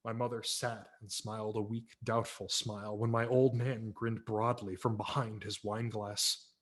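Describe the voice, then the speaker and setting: A man's voice: lowish voice, flat delivery, unhurried, a bit bright. A man narrating a story dramatically as an audiobook reader, with wry, theatrical emphasis.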